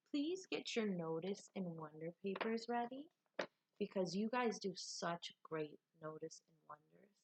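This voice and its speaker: A woman teacher speaking to young pupils and reading aloud to them in an animated, expressive way. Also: medium pitch, poor audio. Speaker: elementary teacher. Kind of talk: read-aloud lesson for children.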